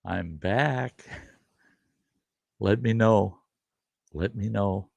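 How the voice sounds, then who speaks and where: A hoarse older man hosts a relaxed live stream, his low voice rough and gravelly. He talks slowly and haltingly, wandering between thoughts with plenty of fillers, recorded dry and close. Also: no room sound. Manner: halting, rambling, many fillers. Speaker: livestream host. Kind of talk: casual live stream chat.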